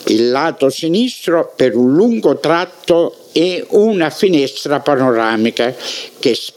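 Slow, measured male local-history narrator. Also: medium pitch, darkish tone. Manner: measured, unhurried, reminiscing. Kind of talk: descriptive narration of a town walk.

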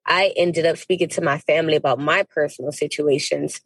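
An American woman from Miami speaking as a podcast guest in a casual interview, telling stories in an animated, emphatic, slangy way. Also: low voice, brisk, slightly rough, no room sound, low-quality recording. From Miami, United States.

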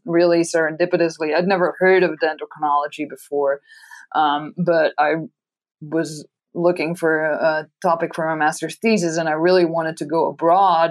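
Dutch-accented woman, thoughtful and lower-pitched. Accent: Belgian Dutch. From Belgium. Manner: thoughtful, many restarts and fillers. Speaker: scientist guest. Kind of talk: interview answers.

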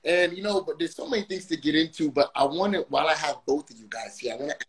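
A man from Brooklyn runs a live interview stream with a voice pitched a bit high. He talks with a lot of energy and keeps hyping up the viewers. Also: fast. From Brooklyn, New York. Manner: energetic, hypes the audience. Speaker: livestream interview host. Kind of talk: hyped live-show hosting.

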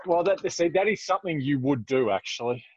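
A man's voice: fairly high pitch, expressive, a little fast, slightly rough, dry recording. A man trades dry, teasing remarks on a casual podcast, grumbling now and then. His voice is recorded dead dry, with a dull, lo-fi tone. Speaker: podcast co-host.

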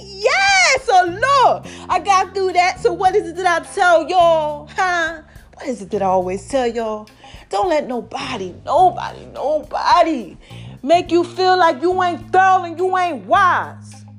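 A woman with a slightly raspy voice, chatting casually and preaching to her followers, calling herself Mama. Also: very high voice, a little slow, soft background music. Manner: animated, calls herself Mama, addresses viewers as y'all. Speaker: online commentator.

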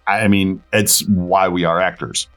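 Low-voiced man, halting interview talk. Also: fairly even intonation, fairly bright tone, soft background music. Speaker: guest voice actor and director being interviewed. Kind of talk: casual interview chat.

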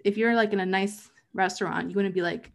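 A woman's voice: medium pitch, fairly even intonation, fairly quick, creaky. A woman co-hosting a podcast in casual chat, chatty and full of "like" and "um," sharing facts. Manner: chatty, fills with "like" and "um", reads out facts. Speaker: podcast co-host.

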